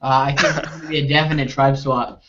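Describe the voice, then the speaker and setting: A man's voice: mid-range voice, little pitch movement, fast, bright, forceful tone, a little hiss or hum. A man in his twenties hosting a casual group recap chat over a video call. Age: 20s. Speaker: podcast host. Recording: muffled video call audio.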